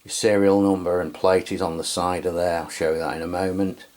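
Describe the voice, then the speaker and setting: A man's voice: deep voice, little pitch movement, brisk, a little mellow. A man from England giving a casual, hesitant product review with many fillers. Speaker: radio reviewer. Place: home radio room.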